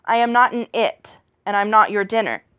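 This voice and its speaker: Storybook heroine, read by a woman: young, plucky, direct, earnest. Mid-range, animated, dry recording. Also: medium pitch, normal speed, smooth, no echo, dull audio, natural voice. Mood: defiant. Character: spirited young maiden heroine, plucky and defiant.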